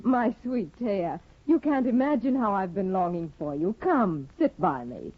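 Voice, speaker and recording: Cool, manipulative wife, tinny old radio. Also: female voice, mid-range voice, very animated, unhurried, breathy edge. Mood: taunting, falsely sweet. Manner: poised, cool, theatrical. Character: discontented, proud, manipulative young married aristocratic woman. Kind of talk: 1950s radio drama acting. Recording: muffled, phone-like old radio broadcast, roomy.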